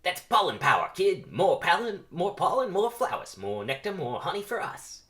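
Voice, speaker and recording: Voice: medium pitch, huge pitch swings, a little fast, a bit bright. A reader voicing a cocky veteran male bee who mentors a rookie with swaggering, brash delivery. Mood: pumped. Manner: brash, swaggering, calls listener kid.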